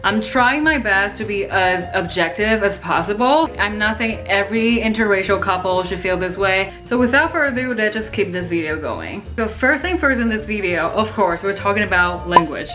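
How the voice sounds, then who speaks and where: A woman's voice: mid-range voice, animated, normal speed, hardly any pauses, sharp tone, faint music behind. Chatty young woman vlogging. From Taiwan. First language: Mandarin Chinese. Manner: chatty, many fillers like "like". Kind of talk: casual chat to camera.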